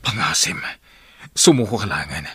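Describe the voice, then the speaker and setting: Earnest, pleading Filipino friend, bright forceful voice. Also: male voice, deep voice, expressive, moderate pace, breathy edge, dry recording, clean studio sound, full character voice. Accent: Filipino (Ilocano). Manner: pleading, emotional. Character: earnest male friend urging a fugitive to surrender and repent. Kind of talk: radio drama acting. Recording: old AM radio drama, music underneath. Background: dramatic music.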